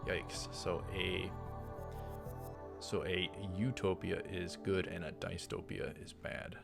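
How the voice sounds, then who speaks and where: A man with a fairly low voice and a noticeable creaky fry reads game text aloud as a let's play host. He drops in casual, offhand asides. Music plays clearly behind him. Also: steady tone, takes it slowly.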